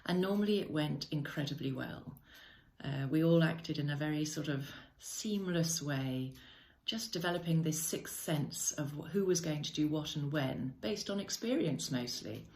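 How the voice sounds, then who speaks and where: A middle-aged woman speaking in a measured, reflective monologue, often pausing with "uh" and correcting herself. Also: deep voice, expressive, a little mellow. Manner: measured, thoughtful, with frequent "uh" and self-corrections. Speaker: podcast host.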